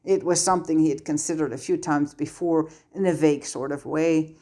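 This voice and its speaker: A woman's voice: low-pitched, fairly even intonation, fairly quick, mellow. A woman narrating her own scripted story as an audiobook-style reader. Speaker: narrator reading own fan fiction.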